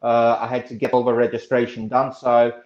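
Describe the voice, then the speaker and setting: Man in his 30s, earnest and halting, roomy echo, dull lo-fi sound. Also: mid-range voice, completely flat delivery, a little mellow. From Russia. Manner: earnest, halting, many uhs and restarts. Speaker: political candidate, guest. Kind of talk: interview answers. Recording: echoey room, dull lo-fi sound.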